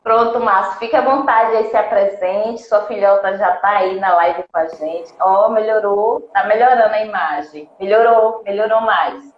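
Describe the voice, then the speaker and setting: This is a woman of middle pitch hosting a live interview stream, and she speaks in a welcoming, encouraging way. Her voice carries a roomy echo, and the audio sounds somewhat dull and lo-fi. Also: normal speed, few pauses.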